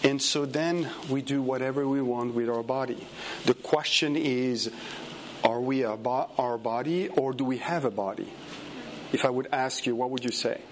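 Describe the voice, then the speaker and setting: A man's voice: mid-range voice, moderate pace, slight background noise. A man with a French accent, a native French speaker, giving a conference talk to a room in a church, hesitant with many fillers and restarts and chatty asides. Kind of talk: conference lecture.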